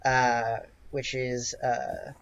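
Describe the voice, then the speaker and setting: A man narrating an improvised tabletop game as game master, with a hesitant, halting delivery. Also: mid-range voice, fairly even intonation, vocal fry, a bit bright.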